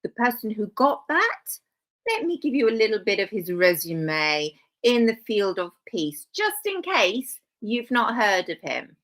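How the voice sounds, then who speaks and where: A woman's voice: mid-range voice, huge pitch swings, unhurried, fairly bright tone. A woman hosting a live stream, talking casually with dry sarcastic asides and rambling self-corrections.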